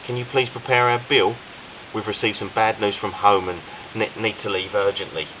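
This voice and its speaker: Brief: forced-calm, brisk young man, male narrator. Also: lowish voice, highs cut off, a little hiss or hum, close to an everyday voice. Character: man trying to hide his panic.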